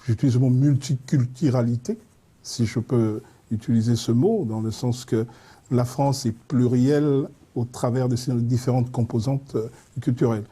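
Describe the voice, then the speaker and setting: A man speaking as a studio debate guest. His voice is very dark and husky, and he builds long, winding arguments with frequent pauses. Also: mid-range voice, fairly even intonation. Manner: measured, long winding sentences with hesitations.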